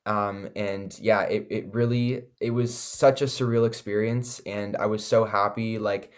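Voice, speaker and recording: A young man with a Canadian accent talks as a musician being interviewed. His voice is a bit low, and his delivery is laid-back, casual and full of slang. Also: fairly even intonation, a little slow, smooth. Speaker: singer-songwriter guest.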